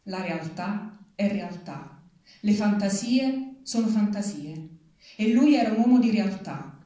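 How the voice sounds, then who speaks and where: A woman's voice: medium pitch, dark tone. A woman reading literary prose aloud at a live public reading. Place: large reverberant venue, live event. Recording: echoey hall, distant from microphone.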